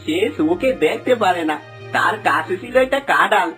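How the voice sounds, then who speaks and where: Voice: medium pitch, animated, moderate pace, a bit bright, lightly acted. An actor voicing a greedy village man who is now remorseful, pleading plaintively in a folktale cartoon. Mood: ashamed confession. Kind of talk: Bengali moral folktale cartoon dialogue. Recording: music under the voice.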